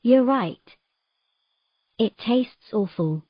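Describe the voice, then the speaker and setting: A woman's voice: a little high, lively intonation, extremely slow, husky, no room sound, lo-fi sound, full character voice. A woman playing a determined, defiant young mermaid with an insistent, urgent delivery in a dramatic audio story. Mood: anxious. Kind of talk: dramatic audio fiction acting.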